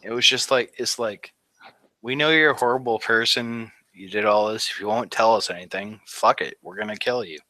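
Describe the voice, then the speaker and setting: A man's voice: lowish voice, fairly even intonation, normal speed, sharp tone, no echo, low-quality recording. A man in his thirties, a veteran, telling his war story in a rambling, casual way with lots of fillers. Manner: rambling, many "like" fillers, laughs at grim moments. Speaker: Army veteran guest. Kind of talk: casual interview talk.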